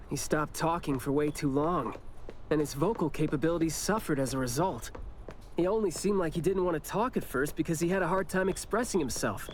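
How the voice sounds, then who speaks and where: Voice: medium pitch, a little hiss or hum, natural voice. A performer voicing a laid-back, chatty young investigator in an anime game, with a casual, teasing manner.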